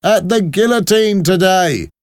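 Brash male soldier, voiced by a male narrator: high, loud, barking, boastful storybook bully. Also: animated, unhurried, clean tone, no echo, clean studio sound.

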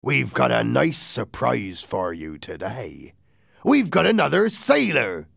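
A man's voice: medium pitch, huge pitch swings, slow pace, gravelly, a bit bright, dry recording, clean studio sound, pitched above the performer's own voice, heavily acted. A man voicing a wily, commanding pirate leader in a storybook reading. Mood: cheerful, sly.

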